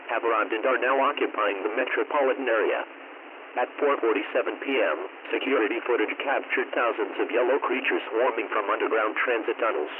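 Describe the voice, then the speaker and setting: A man reading a scripted emergency broadcast as an alert announcer, in a formal, measured, impersonal manner through a radio filter. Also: medium pitch. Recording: tinny narrowband radio, clear static noise.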